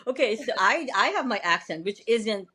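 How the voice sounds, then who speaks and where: A woman's voice: on the high side, lively intonation, normal speed, bright, forceful tone, slightly rough. A woman podcast host with a Filipino accent, speaking upbeat and laughing often on a video call. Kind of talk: podcast interview.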